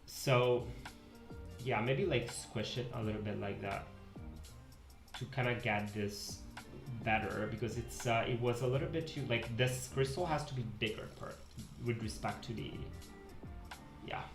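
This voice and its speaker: A man's voice: mid-range voice, measured pace, pauses often, over music. Italian man, 20s, casual streamer, self-correcting ramble, roomy sound. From Italy. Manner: rambling, self-correcting, reads chat aloud. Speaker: pixel art streamer. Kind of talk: casual live-stream chat while drawing. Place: home desk room. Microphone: headset.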